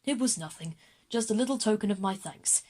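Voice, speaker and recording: A woman playing a courtly, genial older male hero in an audio drama, formal and measured. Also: mid-range voice, lively intonation, mellow, natural voice. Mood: genial, gracious.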